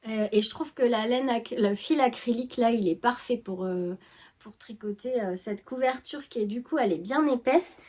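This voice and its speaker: Woman, higher voice, congested with a cold, hesitant, full of fillers, casual knitting chat at home. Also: normal speed, a little mellow. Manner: hesitant, many fillers and restarts. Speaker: knitting vlogger.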